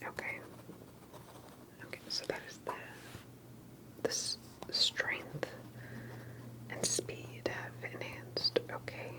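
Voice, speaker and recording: A woman whispers in a breathy, unvoiced voice, as in an ASMR roleplay. She plays an interviewer, asking things gently and hesitantly. Her phrases come with small pauses between them. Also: rough recording.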